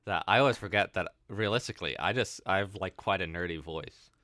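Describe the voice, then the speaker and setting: Young male student radio host, very low resonant voice, put-on American accent, rambles with "like", dry booth. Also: brisk, bright, forceful tone, dry recording. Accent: American-sounding, put on since his teens. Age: young adult. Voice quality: deep, resonant voice. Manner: jumps between low and high, rambling with many "like"s. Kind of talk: casual chat.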